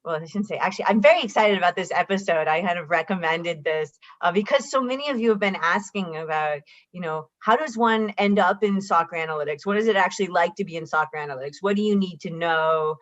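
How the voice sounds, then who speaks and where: A woman's voice: fairly low pitch, fast, highs cut off. A woman math professor co-hosting a live online panel, chatty and restarting her sentences. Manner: chatty, with frequent restarts and fillers. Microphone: video call.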